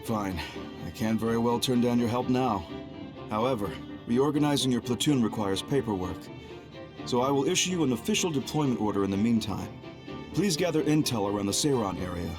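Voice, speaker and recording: An actor playing a stern, calm military commander and scheming reformer in an English game dub, speaking formally and deliberately. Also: male voice, medium pitch, natural voice. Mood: firm, official. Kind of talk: English-dubbed video game cutscene acting. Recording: music behind the voice.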